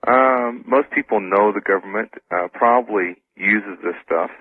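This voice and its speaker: Husky man on a tinny phone line. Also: on the low side, normal speed. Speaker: interview guest on talk radio. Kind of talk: casual explanatory interview talk. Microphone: telephone.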